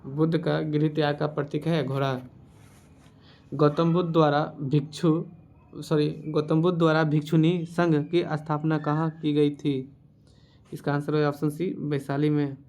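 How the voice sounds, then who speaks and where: A man's voice: on the high side, level tone, a little slow, a little mellow. A man teaching as a quiz host, reading out questions and answers in an instructional way and repeating the answers. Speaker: quiz teacher for exam preparation.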